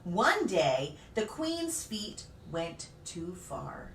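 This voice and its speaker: A woman reading a children's story aloud expressively, with deliberate phrasing and dramatic pauses. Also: lowish voice, very animated, a bit bright. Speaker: pastor reading children's stories. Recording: roomy, distant mic with faint noise.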